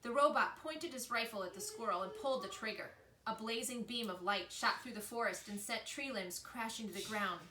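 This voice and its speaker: A woman reading a children's book aloud in an expressive storytelling style. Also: fairly high pitch, fairly quick. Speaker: reader, storyteller. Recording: echoey room with faint noise.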